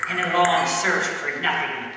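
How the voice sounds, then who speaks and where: A woman's voice: sits low, unhurried, background noise. A woman playing a fawning, greedy criminal sidekick in a noir radio parody, with theatrical, melodramatic delivery. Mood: wistful, indulgent. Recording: echoey hall recording from far off.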